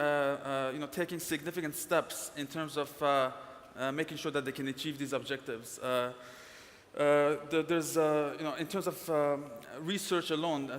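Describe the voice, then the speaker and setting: A man's voice: fairly high pitch, a bit bright, slight background noise. A man giving a conference panel presentation, hesitant, with many fillers and restarts. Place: conference hall. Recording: long reverb in a conference hall.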